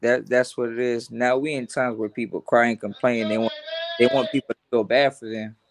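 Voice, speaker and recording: A man's voice: mid-range voice, level tone, fairly quick. A young man who grew up between Los Angeles and Honduras, speaking earnestly and casually in an interview, often repeating words as he starts a thought. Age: young adult. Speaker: boxer, interview guest.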